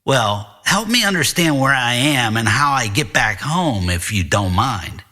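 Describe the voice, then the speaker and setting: A man's voice: medium pitch, sing-song, unhurried, pushed and bright, exaggerated voice. A man voicing a bewildered, wry teenage boy who is hesitant but politely insistent, in an audiobook story. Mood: puzzled, polite. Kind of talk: audiobook character dialogue.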